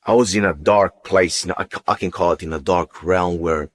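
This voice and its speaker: Low-voiced man giving halting personal testimony. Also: steady tone. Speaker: interview guest giving testimony. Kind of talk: personal testimony, unscripted storytelling.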